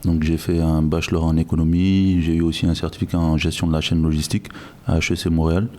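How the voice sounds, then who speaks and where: Man from Guinea, 30s, guest on a podcast. Very low, dark, even delivery, measured, restarts often. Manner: measured, reflective, frequent restarts. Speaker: guest, logistics director. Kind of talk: podcast interview.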